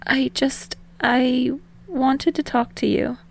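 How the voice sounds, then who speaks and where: A woman voicing a bubbly, earnest young man in love, with a hesitant, breathy, eager delivery in an audiobook. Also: high-pitched, fairly even intonation, slow pace, voice pushed up, heavily acted. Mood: soft, confiding.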